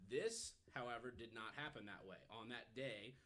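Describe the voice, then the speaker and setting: A man's voice: mid-range voice, moderate pace, fairly bright tone. A man on a podcast panel telling a story casually, hedging often with "you know" as he explains.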